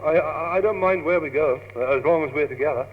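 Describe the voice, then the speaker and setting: A man's voice: a little high, fast talker, lightly acted. Stammering lovestruck young British man , acted out. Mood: eager. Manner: stammering, earnest, gushing. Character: lovestruck, naive, eager young gentleman, David. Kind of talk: old British radio comedy acting. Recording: tinny, hissy, humming old radio tape, reverberant.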